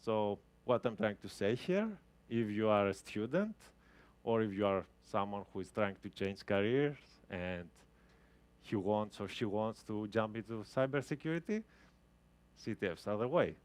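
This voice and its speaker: Man, 30s, lowish voice, casual live tech talk, keeps restarting sentences. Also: lively intonation, normal speed, a little mellow. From Greece. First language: Greek. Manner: casual, explanatory, with frequent restarts. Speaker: guest speaker. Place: talk venue with a live audience.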